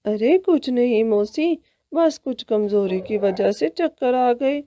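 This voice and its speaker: A woman's voice: on the high side, lively intonation, slow pace, warm tone, a little hiss or hum. A woman voicing a weary, good-natured ageing male crow in a cartoon, mild and resigned.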